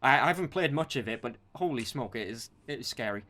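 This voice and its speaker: A man's voice: mid-range voice, animated, quick pace, bright, forceful tone. A man co-hosting a podcast in casual chat about films and games, often repeating words and restarting as he goes. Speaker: podcast co-host.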